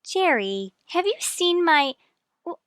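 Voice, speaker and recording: A woman playing a sprightly, warm-hearted amateur-sleuth wife who teases her husband in a radio mystery drama. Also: very high-pitched, big pitch swings, takes it slowly, dry recording, heavily acted. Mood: amused, nostalgic.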